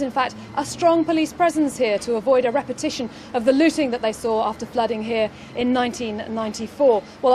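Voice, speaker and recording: British woman doing live tv news outside, slightly high voice, bit of street noise. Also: darkish tone. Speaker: television news presenter on location. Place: outdoors on a town street. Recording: faint outdoor street and vehicle noise.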